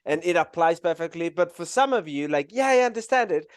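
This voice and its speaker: Man, mexican accent, chatty live class teacher, smooth clear voice, dry close sound. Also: fairly high pitch, expressive, a little fast, no room sound. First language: Spanish. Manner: chatty, laughs often, many fillers. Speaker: animation instructor.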